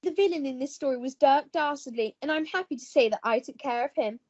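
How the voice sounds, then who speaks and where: A plucky young society heroine acted by a woman in a radio melodrama. She gushes in a high voice with jolly, old-fashioned phrasing, and the recording is slightly dull. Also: quick pace, a little mellow, lightly acted. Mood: soothing. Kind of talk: melodramatic radio play acting.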